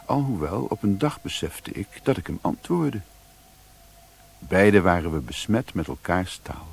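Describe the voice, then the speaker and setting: An actor playing a sombre adventurer who narrates his journey in a radio play, with a husky voice. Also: low-pitched, sing-song, a little slow, full character voice. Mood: reflective.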